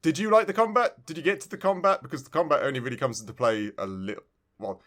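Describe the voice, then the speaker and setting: A man's voice: a little high, fast talker, fairly bright tone. A man co-hosting a casual video game review podcast, talking in a rambling way and often restarting his phrases. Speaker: podcast co-host.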